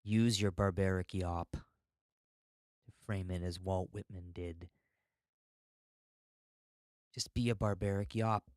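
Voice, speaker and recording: An American man with a fairly low voice delivers a rambling solo podcast monologue. He talks in an unfiltered, ranting way and swears freely. The sound is dry and close, with little room in it. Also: fairly even intonation, takes it slowly, pauses often, no echo. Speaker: podcast host.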